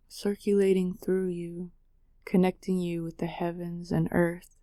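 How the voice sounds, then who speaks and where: A woman narrating a guided meditation in a soothing, measured way with long pauses. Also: lowish voice, warm tone, no room sound, clean studio sound.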